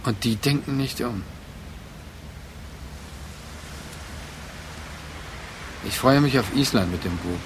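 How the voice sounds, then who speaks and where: Radio play role: actor as brooding man haunted by a lost love, halting and reflective, mid-pitched, clear ambient noise behind. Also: lively intonation, close to an everyday voice. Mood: musing. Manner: halting, reflective monologue in short phrases. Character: brooding man pleading with his remembered former lover. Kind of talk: literary radio drama acting.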